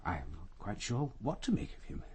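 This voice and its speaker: An actor playing a formal, hesitant senior barrister in a radio crime drama. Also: male voice, fairly low pitch, wildly swooping pitch, a little fast, a little mellow, slight background noise. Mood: measured, uneasy.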